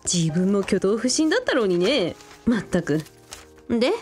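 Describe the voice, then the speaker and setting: A woman's voice: medium pitch, very animated, moderate pace, darkish tone, lightly acted. Blunt, bossy elder sister played by a woman, swooping intonation, radio drama, light music behind. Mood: nosy, brisk. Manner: blunt, inquisitive, teasing. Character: bossy, curious big sister, brisk and teasing.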